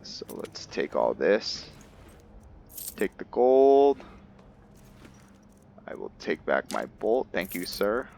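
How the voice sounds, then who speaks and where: Male gamer, low register, slow delivery, casual play-by-play with muttered, halting asides. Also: very animated, vocal fry, darkish tone, slight background noise. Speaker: gamer hosting a roleplay playthrough. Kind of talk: casual game commentary, reading in-game text aloud.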